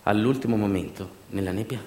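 A man's voice: lowish voice, a little mellow, a little hiss or hum. Echoey man in dramatic theatrical monologue. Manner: intense, rhythmic, repetitive delivery with dramatic pauses. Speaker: stage actor and narrator. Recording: roomy, echoing sound.

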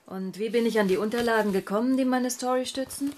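A woman acting a sharp, ambitious young journalist in a German radio drama, cool, self-assured and challenging. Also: a little high, fast, a little hiss or hum, lightly acted. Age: young adult.

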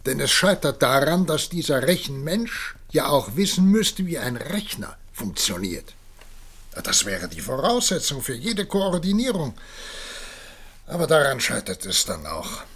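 A man's voice: medium pitch, sing-song, a little slow, a bit bright, slightly rough, full character voice. An actor playing a cautious, doubtful president in a German science-fiction radio drama, emphatic in his disbelief. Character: cautious, doubtful male president weighing advice.

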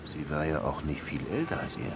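An actor playing a brusque, world-weary, sardonic Havana police lieutenant in a radio crime play, terse and colloquial. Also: male voice, extremely low pitch, big pitch swings, a little fast, creaky, background noise, heavily acted. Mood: terse, probing. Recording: music behind the voice.

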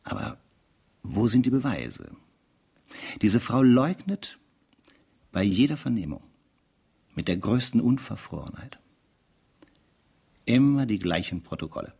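A man's voice: fairly low pitch, sing-song, measured pace, vocal fry, long pauses, warm tone, husky, exaggerated voice. An actor playing a sardonic, weary male investigator who narrates a spy case theatrically in a radio play. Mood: frustrated. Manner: sardonic, theatrical, rhetorical asides.

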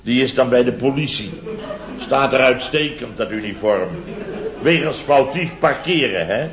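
An actor playing a flustered contractor in a 1970s radio comedy, telling domestic mishaps in halting, repetitive sentences full of tag questions. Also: male voice, mid-range voice, a crowd in the background, lightly acted. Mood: rambling. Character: flustered male contractor recounting his chaotic domestic mishaps. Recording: dull vintage radio recording.

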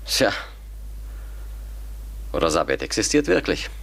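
An actor playing a sceptical, methodical educated man who reasons formally and deliberately in a German radio drama. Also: sits low, big pitch swings, gravelly, fairly bright tone, exaggerated voice.

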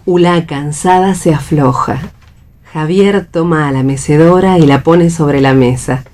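A woman's voice: deep voice, unhurried, a little mellow, a little hiss or hum. A woman reading stage directions as the narrator of a radio play.

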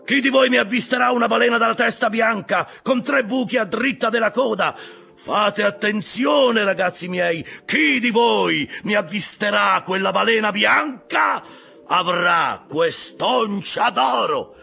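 A man voicing an obsessed, commanding old whaling captain in a dramatized audiobook, declaiming drawn-out orders to his crew. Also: deep voice, lively intonation, husky, heavily acted. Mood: fervent, roaring. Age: older.

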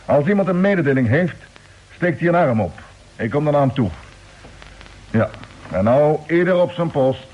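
Commanding space fleet leader, husky voice, brisk orders, vintage radio drama, muffled sound. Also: male voice, fairly high pitch, lively intonation. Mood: calm, commanding. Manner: brisk, authoritative, giving orders. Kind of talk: old radio drama acting.

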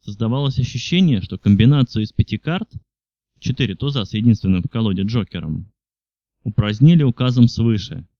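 A man's voice: mid-range voice, warm tone, dry recording, muffled recording. A man reading literary fiction aloud as a scripted audiobook narration. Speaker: audiobook reader.

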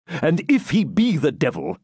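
A man's voice: on the high side, huge pitch swings, a little slow, warm tone, slightly rough, no room sound, studio quality, voice pushed up, exaggerated voice. A man voicing a proud, stern Norman knight in an audiobook, with theatrical archaic speech. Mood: furious rallying. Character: defiant proud Norman knight commander, beaten into sullen submission.

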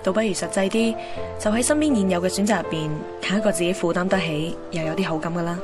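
A woman's voice: lowish voice, fast, lightly acted. Actress as guarded, wry young Hong Kong woman, music behind. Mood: wistful reflection. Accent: Hong Kong Cantonese. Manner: reflective, mixes English words into Cantonese. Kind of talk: radio drama narration and dialogue. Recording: background music under the voice.

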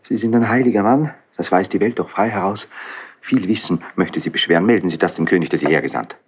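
An actor playing an anguished, bitter young crown prince in a classical German radio drama, theatrical and impassioned, with a husky, breathy voice. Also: male voice, deep voice, fast. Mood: biting irony. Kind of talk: 1970s German radio play, classical stage acting.

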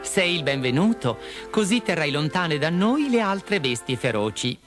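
Guy doing a plucky little girl for a fairy tale, raised pitch, bossy but kind, lively. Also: normal speed, soft background music. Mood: encouraging, kindly. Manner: forthright, chiding.

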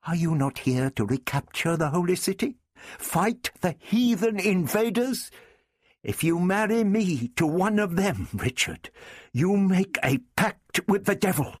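Man voicing a high-pitched, furious young princess. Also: animated, measured pace, husky, no room sound, studio quality, exaggerated voice. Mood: defiant. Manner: impassioned, scornful, crackling with emotion. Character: furious, defiant young princess, a king's sister. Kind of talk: dramatised storybook reading.

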